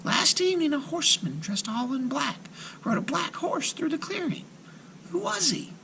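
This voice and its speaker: A meek, curious little girl from a folktale, played by a man, in an extremely high voice. She asks politely and hesitantly, soft and timid, with slight noise in the recording. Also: big pitch swings, normal speed, creaky, heavily acted.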